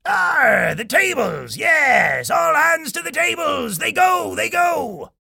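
A man voicing a blustering old pirate captain in a story, shouting orders in piratical phrasing. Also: extremely high pitch, sing-song, slow, gravelly, dry recording, clean studio sound, pitched above the performer's own voice, full character voice. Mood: excited. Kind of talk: acted children's storybook character.

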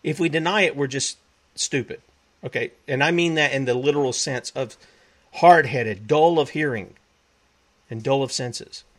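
A man's voice: mid-range voice, normal speed, a bit bright. Preachy man. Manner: emphatic, repeats himself, quotes scripture. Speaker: talk show host. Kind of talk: preachy broadcast monologue.

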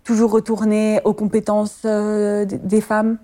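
Soft-toned midwife, hesitant. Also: female voice, mid-range voice, monotone, measured pace. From France. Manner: hesitant, many fillers, laughs easily. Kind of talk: personal interview testimony.

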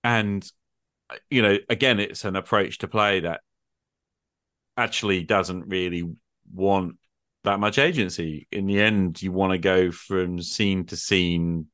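A man's voice: low-pitched, a bit bright, no echo, clean studio sound. A middle-aged man in a casual, extended conversation, thinking aloud in a reflective, hesitant way. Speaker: podcast host. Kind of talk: extended casual conversation about role-playing games.

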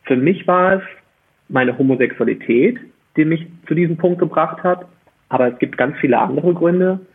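Husky guy guesting on a podcast, emphatic and articulate, sounds like a phone line. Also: on the high side, moderate pace. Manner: emphatic, articulate, rhetorical questions. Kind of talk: conversational interview, explaining opinions. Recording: muffled phone-like band-limited line.

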